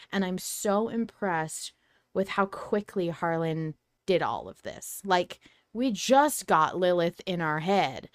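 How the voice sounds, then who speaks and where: Low-pitched woman hosting a casual podcast. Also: dry recording, clean studio sound. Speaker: podcast host. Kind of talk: casual fan podcast discussion.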